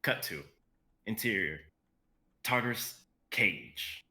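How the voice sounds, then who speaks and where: A young man reads stage directions as narrator. His voice is low yet bright and forceful, shaped for dramatic script narration. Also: expressive, slow pace. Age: young adult. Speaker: narrator and reader.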